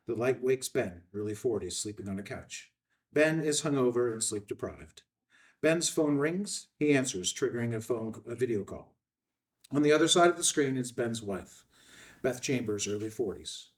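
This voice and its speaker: A man's voice: medium pitch, lively intonation, normal speed, recorded from a distance. A man reading out stage directions as scripted narration at a film table read.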